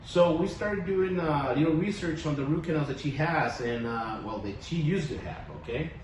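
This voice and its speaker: Mexican guy, dentist, rambly and friendly, keeps saying okay, sounds far off in a boomy room w some noise. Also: moderate pace. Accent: Mexican Spanish. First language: Spanish. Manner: friendly, rambling, tag questions like "okay?" and "you know?". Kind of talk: casual clinic testimonial chat. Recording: echoey room, far from mic, audible noise.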